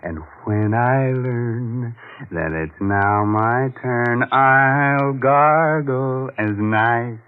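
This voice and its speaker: An actor playing a gleefully macabre 1940s radio horror host, droll and mock-sinister, on a muffled old recording. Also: male voice, medium pitch, drawn-out words, smooth. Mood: mock-singsong macabre.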